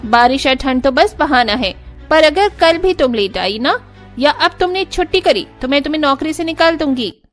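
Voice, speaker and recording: A woman's voice: sits high, expressive, normal speed, faint music behind. A woman playing a stern, scolding mistress of a rich house in a Hindi cartoon story. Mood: angry scolding. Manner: sharp, bossy, scolding.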